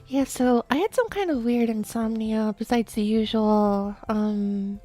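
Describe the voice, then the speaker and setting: A woman streaming a late-night chat, talking softly and quietly while sleepy, with many fillers and restarts. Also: on the high side. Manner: soft, quiet, drowsy talk with fillers.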